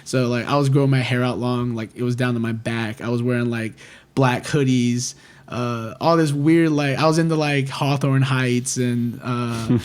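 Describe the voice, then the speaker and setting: A man's voice: medium pitch, fairly even intonation, moderate pace, clean tone. A man in his twenties, a guest on a podcast, chatting casually and rambling with lots of "like" fillers. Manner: rambling, heavy use of "like" fillers, talkative. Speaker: record label manager, guest.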